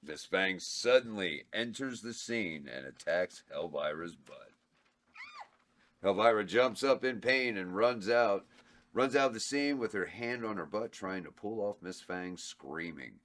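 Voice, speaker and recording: A man's voice: lowish voice, lively intonation, a little slow, fairly bright tone. A man reading stage directions aloud at a table read, laid-back and chuckling. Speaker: script reader and cast member.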